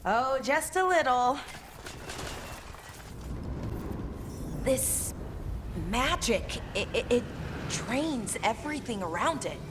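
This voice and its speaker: A woman's voice: on the high side, lively intonation, slow, background noise. An actress playing an eager, awkward young woman in a video game, earnest and hesitant in the way she talks. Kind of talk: video game character acting.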